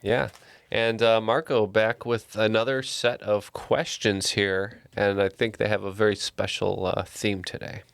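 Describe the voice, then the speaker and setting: A man's voice: lowish voice, little pitch movement, unhurried, vocal fry, a bit bright, clean tone, dry recording, clean studio sound. A man co-hosting a trivia podcast in a casual, chatty way.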